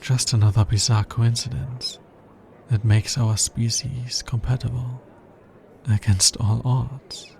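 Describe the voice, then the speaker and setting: Man playing a slow, gravelly, anxious alien scientist. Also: medium pitch, little pitch movement, darkish tone, slight background noise. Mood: hesitant, uneasy. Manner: hesitant, formal, halting. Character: anxious, logical male alien scientist in love with a human. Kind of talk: ASMR roleplay, soft intimate acting.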